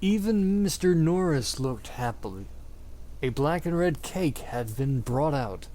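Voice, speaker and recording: A man with a fairly high voice reads a story aloud at a very unhurried pace. His delivery is theatrical and exaggerated, as in a mock-dramatic amateur reading. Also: lively intonation, dark tone. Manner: theatrical, exaggerated delivery, often breaking into giggles. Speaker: amateur reader of fan fiction. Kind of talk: mock-dramatic reading of badly written fiction.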